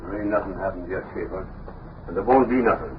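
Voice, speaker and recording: A man's voice: low-pitched, fast talker, lightly acted. An actor playing a confident, folksy American policeman in an old radio crime drama. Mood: reassuring, confident. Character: gruff, confident city policeman. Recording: muffled, noisy old radio recording.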